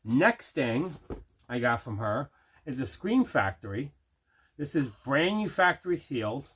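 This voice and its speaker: A middle-aged man casually showing items in an unboxing, deliberate and repeating himself. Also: mid-range voice, very animated, a bit bright, husky. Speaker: host of a movie collecting show. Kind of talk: casual unboxing show-and-tell.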